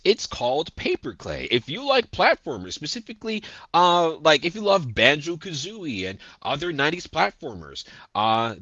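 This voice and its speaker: A man talking as a guest voice actor in a casual interview, animated and rambling with lots of fillers. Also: on the high side, dry recording.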